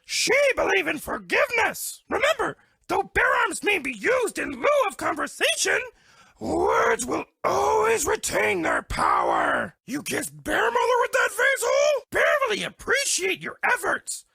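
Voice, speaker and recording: A woman's voice: extremely high pitch, huge pitch swings, measured pace, creaky, pushed and bright, no room sound, raised voice, exaggerated voice. A woman voicing a sweet, dramatic, lovestruck female bear in a cartoon dating game, speaking broken English in a gushing way. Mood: shy, fond.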